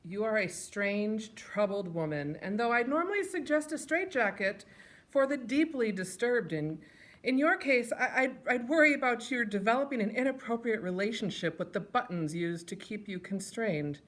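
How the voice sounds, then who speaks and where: Lively woman reading a comic letter aloud. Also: fairly high pitch, normal speed.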